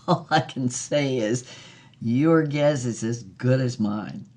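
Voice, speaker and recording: An actress plays an older woman, a former movie star with a worldly, frank air. She spins her memories like a practised raconteur in an audio drama. Her delivery is theatrical and deliberate, pausing for effect. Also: rumbling low, a little mellow, exaggerated voice. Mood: coy, knowing. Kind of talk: dramatised interview, storytelling monologue.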